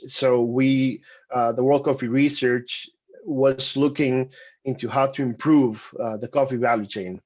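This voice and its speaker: Man presenting a webinar, lo-fi sound. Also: mid-range voice. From Costa Rica. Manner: conversational with frequent fillers and restarts. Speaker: webinar presenter, program coordinator.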